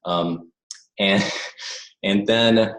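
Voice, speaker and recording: Low-voiced man on a call, pausing and searching for words, heard in a roomy space. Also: steady tone, fairly bright tone. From born in the United States, raised abroad. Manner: hesitant, thoughtful, many "you know" fillers. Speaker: interview guest. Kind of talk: reflective conversational interview.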